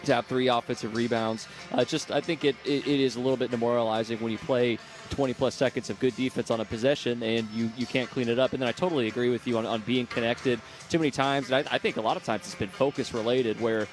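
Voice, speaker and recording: A man analyses a basketball game live in a fast, conversational flow, doubling back on words as he thinks. His pitch is average, and there is music faintly behind him. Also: steady tone.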